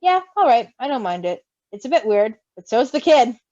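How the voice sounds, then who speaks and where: A woman voicing a gentle, wary, protective male creature in a storybook reading, with a reassuring, dry manner. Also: fairly high pitch, big pitch swings, normal speed, dry recording, muffled recording. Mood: resigned.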